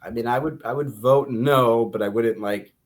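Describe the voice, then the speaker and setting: A man's voice: mid-range voice, normal speed, a little hiss or hum. American male co-host, casual talk. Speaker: podcast co-host. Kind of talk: casual banter between friends.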